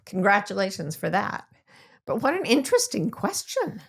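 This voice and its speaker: A woman's voice: mid-range voice, wildly swooping pitch, normal speed, vocal fry, breathy edge. A woman co-hosting a podcast in casual chat, trading dry, teasing banter. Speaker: podcast co-host.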